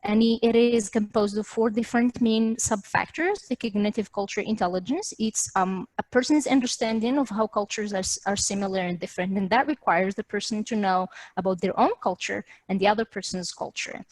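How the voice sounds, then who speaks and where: A woman's voice: mid-range voice, fairly even intonation, brisk, hardly any pauses, dry recording, poor audio. A young adult woman from Morocco, presenting research in an explanatory way, hesitating and rewording as she goes. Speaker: doctoral student presenting research. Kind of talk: online academic slide presentation. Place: virtual meeting, video call.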